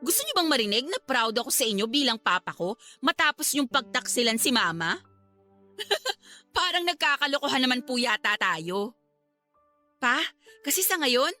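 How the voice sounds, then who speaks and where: Emotional filipino student daughter in a radio drama, high sharp voice, faint music under it. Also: female voice. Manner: emotional, cutting, polite address to parents. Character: hurt, angry student daughter confronting her cheating father.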